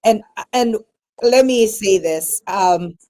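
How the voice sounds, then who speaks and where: Woman, radio host, mid-pitched voice, halting questions, frequent fillers. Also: darkish tone. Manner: hesitant, many fillers. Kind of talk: interview hosting.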